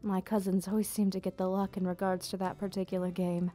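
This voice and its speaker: Soft, mellow woman playing a gentle vampire hostess. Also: medium pitch, little pitch movement, close to an everyday voice. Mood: gentle, explaining. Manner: gentle, unhurried explaining, slightly formal wording. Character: gentle, nostalgic female vampire hostess of an old castle. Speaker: ASMR roleplay performer. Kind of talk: ASMR roleplay, soft storytelling. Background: background music.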